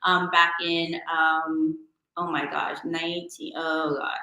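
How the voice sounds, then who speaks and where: Bright, chatty woman. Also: low-pitched, flat delivery, slow pace, echoey room, rough recording. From San Francisco Bay Area, California. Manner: chatty and warm-hearted, many fillers and restarts. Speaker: guest entrepreneur and DJ. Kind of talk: casual interview chat.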